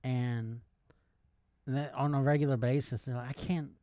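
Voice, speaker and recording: A man's voice: medium pitch, fairly even intonation, moderate pace, mellow, no room sound. Conversational man in his forties, dry sound. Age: 40s. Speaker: podcast co-host. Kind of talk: casual chat.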